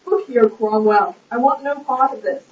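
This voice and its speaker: A woman's voice: fairly high pitch, moderate pace, natural voice. A woman playing a blunt, indignant, argumentative nobleman in an amateur puppet-show stage play. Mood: defiant. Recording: echoey, muffled, distant lo-fi recording.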